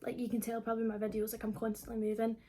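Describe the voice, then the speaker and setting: A young Scottish woman of twenty talks to camera like a vlogger. Her voice is fairly high and mellow, with little rise and fall in pitch. She rambles informally and casually, as if chatting with friends. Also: monotone, very fast. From Scotland. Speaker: vlogger answering viewer questions.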